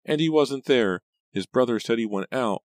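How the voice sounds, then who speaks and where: A man's voice: medium pitch, animated, moderate pace, no room sound, pitched above the performer's own voice, natural voice. A man voicing an anxious, suspicious teenage girl in an audiobook, thin and shaky, with a pleading, stammering manner. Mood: upset, whiny. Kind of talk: audiobook character dialogue.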